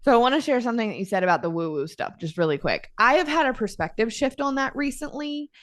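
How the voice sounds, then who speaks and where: Woman guest on a faith podcast, higher voice, candid, emphatic. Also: animated. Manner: emphatic, candid, quick to exclaim. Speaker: mother. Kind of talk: casual faith conversation.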